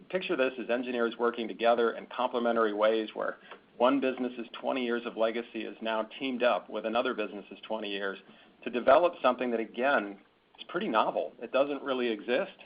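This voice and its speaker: Dull-sounding man explaining business, halting delivery. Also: mid-range voice. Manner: halting, repeats words and phrases. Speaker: company executive on an earnings call. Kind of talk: semi-formal business explanation in answer to questions. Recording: dull, band-limited conference call audio.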